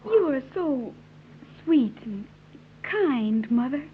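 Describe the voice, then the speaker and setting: A woman's voice: sits high, sing-song, slow, a little hiss or hum, heavily acted. A woman acting a naive, earnest young island woman in an old-time radio serial, speaking formally with careful diction. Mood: earnest, gentle. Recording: tinny, narrow-band vintage radio recording.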